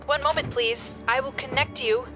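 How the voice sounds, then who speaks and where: An actress playing a polite, unruffled telephone operator in a radio play, speaking with formal, routine courtesy. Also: female voice, high-pitched, normal speed, sharp tone, faint music behind. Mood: detached politeness.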